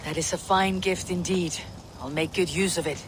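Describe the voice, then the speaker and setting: An actor playing a bold, battle-hardened Viking warrior leader in a video game cutscene. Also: male voice, high voice, fairly even intonation, moderate pace, slight background noise, lightly acted. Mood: warm, steady.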